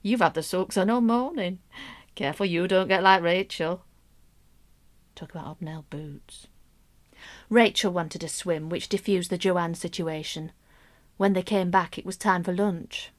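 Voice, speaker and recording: A woman's voice: on the low side, a little slow, lightly acted. Actress as a confiding, wry middle-aged mother. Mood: wry, recounting. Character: middle-aged English mother, romantic, wry, privately yearning. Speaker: actress in a radio play monologue. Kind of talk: intimate confessional radio drama monologue.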